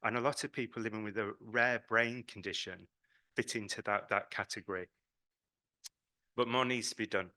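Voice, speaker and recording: British man, bright forceful voice. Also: on the low side, fairly even intonation, moderate pace, long pauses. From Britain. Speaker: patient advocacy representative speaking at a conference. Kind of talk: conference presentation, semi-spontaneous.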